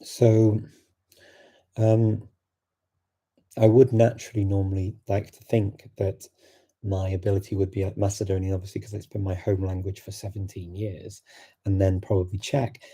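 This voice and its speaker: A middle-aged man hosting a live-stream Q&A, answering viewer questions in a thoughtful, unhurried way full of fillers and restarts. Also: low voice, level tone, dark tone, husky. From England.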